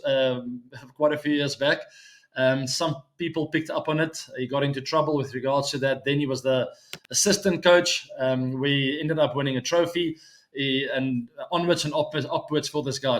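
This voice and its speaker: A man's voice: fairly high pitch, fairly bright tone. A man in his forties whose first language is Afrikaans, hosting a cricket show in a casual, chatty solo talk to viewers, with frequent ums and easy laughs. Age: 40s. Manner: chatty, many ums and uhs, laughs easily.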